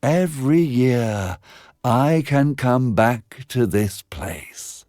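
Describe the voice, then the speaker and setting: A man voicing the lonely, pleading soul of a fisherman in a bedtime story, with slow, drawn-out words. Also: mid-range voice, animated, darkish tone, no room sound, studio quality, soft background music. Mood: wistful.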